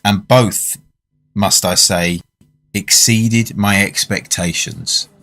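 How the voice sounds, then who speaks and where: An actor playing a polite, curious gentleman diner in a radio drama, narrating and conversing in a measured, formal way. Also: on the low side, steady tone, exaggerated voice. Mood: reflective. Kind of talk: radio drama acting and first-person narration.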